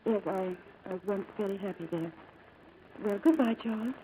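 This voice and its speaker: An actress playing a gentle, strained English wife, wistful and restrained, in 1940s radio drama style. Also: female voice, mid-range voice, moderate pace, close to an everyday voice. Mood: wistful, polite. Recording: slightly noisy old radio recording.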